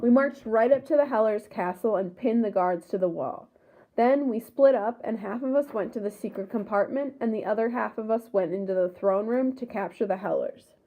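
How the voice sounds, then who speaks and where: A thin, phone-like recording carries a woman's high voice. She reads a scripted first-person story aloud as its narrator. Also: moderate pace. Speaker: story reader.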